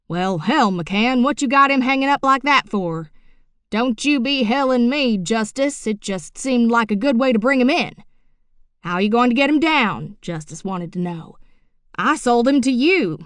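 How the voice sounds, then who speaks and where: A woman's voice: a little high, fairly bright tone, smooth, no room sound, clean studio sound, pitched above the performer's own voice. A woman narrating an audiobook, voicing a middle-aged rural man telling a tall tale in a drawling, folksy way. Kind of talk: audiobook character reading.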